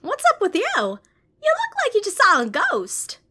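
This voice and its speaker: A woman playing a feisty, hot-tempered, fiercely protective demon assassin in an animated fan audio drama. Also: extremely high pitch, measured pace, heavily acted. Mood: cheery, casual. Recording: music behind the voice.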